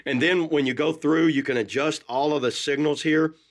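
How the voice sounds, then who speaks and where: A man's voice: a little high, steady tone, normal speed. A middle-aged man giving a casual hands-on product review in informal, folksy phrasing. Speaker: gear reviewer, host. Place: indoors at a desk.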